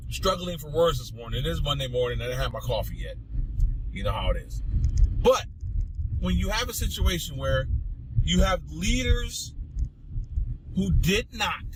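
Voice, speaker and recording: Male talk host for opinion monologue: high, very lively voice, indignant emphasis, some background noise. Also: rough recording. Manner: emphatic and indignant, with repetitions and rhetorical questions. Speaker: political talk show host. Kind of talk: opinionated political commentary monologue addressed to listeners.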